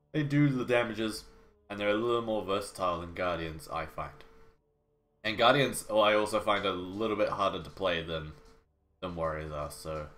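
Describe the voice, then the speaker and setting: A young man with a cold and a nasal, blocked-nose voice, giving casual, sarcastic game commentary at home. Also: on the low side. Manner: sarcastic, swears casually, trails off and restarts. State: sick with a cold. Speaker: gaming video host. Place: home room with wooden floors.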